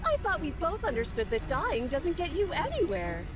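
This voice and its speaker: A woman's voice: high-pitched, wildly swooping pitch, moderate pace. A woman voicing a playful, deadly princess in a visual novel, teasing and taunting without hurry. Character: playful, deadly princess who toys with her opponent. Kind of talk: dramatic visual novel voice acting. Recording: music clearly behind the voice.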